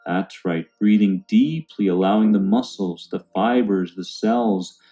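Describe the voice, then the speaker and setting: A man calmly guiding a meditation in a soothing, unhurried way. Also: lowish voice, muffled recording. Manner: calm, soothing, unhurried instruction. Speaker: meditation guide. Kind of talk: guided meditation narration. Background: background music.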